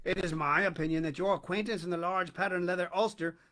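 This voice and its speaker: A man's voice: high voice, fairly even intonation, bright, forceful tone. A man playing a pompous, long-winded python. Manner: ornate, deliberate, pompous. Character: pompous, wordy male python snake.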